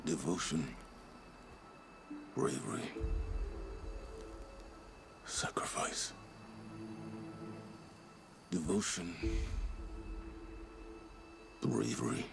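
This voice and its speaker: Very slow, gravelly solemn intoner. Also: male voice, sits low, expressive, exaggerated voice. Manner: intoning single words solemnly. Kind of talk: video game voice acting. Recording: music behind the voice.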